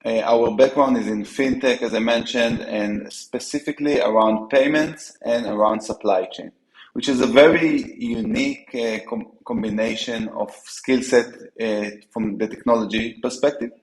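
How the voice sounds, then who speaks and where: Israeli man, echoey room. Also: mid-range voice. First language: Hebrew. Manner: halting, frequent fillers and restarts. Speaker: company co-founder guest. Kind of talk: business interview.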